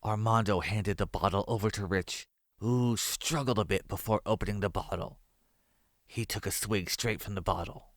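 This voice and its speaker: A man's voice: on the low side, normal speed, dry recording, clean studio sound. A man narrating a scripted horror story.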